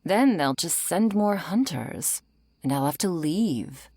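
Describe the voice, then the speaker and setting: A woman playing a seductive, menacing undead vampire in an intimate ASMR roleplay, coaxing and softly threatening, often slipping into whispers. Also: expressive, slow, no echo, exaggerated voice. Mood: persuasive, possessive. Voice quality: low, breathy, creaky.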